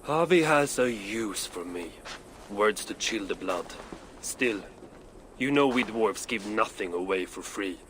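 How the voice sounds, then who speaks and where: An actor voicing a crude, ill-tempered old male dwarf with a gruff, sardonic way of talking in a video game cutscene. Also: on the low side, animated, measured pace, background noise. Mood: wry banter.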